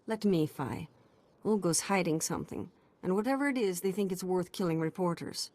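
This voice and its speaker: An actress playing an indignant, determined news reporter in a video game. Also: female voice, lowish voice, expressive, moderate pace, darkish tone, close to an everyday voice. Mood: indignant, urgent.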